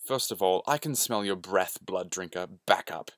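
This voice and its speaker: A man's voice: low voice, normal speed, a bit bright, dry recording, studio quality. A man voicing a prickly, defiant, self-righteous woman in a story, curt and argumentative. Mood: irritated.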